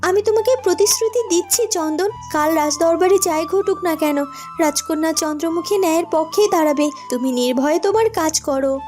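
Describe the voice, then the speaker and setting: A woman voicing a dignified young princess in a fairy-tale cartoon, stately and expressive. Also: very high-pitched, fairly quick, faint music behind. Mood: solemn promise.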